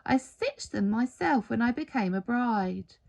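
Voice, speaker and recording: An old woman as a female storyteller acts her out speaks with tearful, wistful feeling. Her delivery is breathless and halting, higher in pitch, with some creak, as in a heartfelt storybook tale. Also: lively intonation, a little mellow, heavily acted. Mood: moved, gentle.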